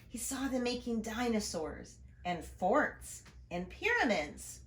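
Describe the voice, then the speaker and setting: Animated woman reading aloud, roomy sound. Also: fairly high pitch, slow pace, slight background noise. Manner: expressive, storytelling to young listeners. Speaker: storybook reader, educator. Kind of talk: animated children's read-aloud with asides on the pictures. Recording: roomy, echoing room sound.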